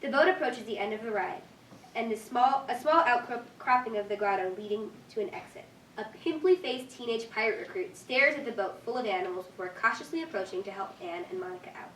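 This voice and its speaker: A woman's voice: a little high, fairly quick, fairly bright tone, recorded from a distance. Young woman narrating in an echoey room. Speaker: narrator reading stage directions. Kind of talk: scripted narration at a staged reading. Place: live staged reading in a room. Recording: echoey room from afar, faint noise.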